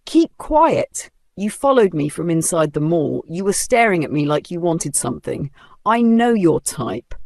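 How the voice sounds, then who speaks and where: A storyteller voicing a haughty, vicious rich woman of thirty who snaps and shouts insults. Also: medium pitch, sing-song, moderate pace, pitched above the performer's own voice. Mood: scornful.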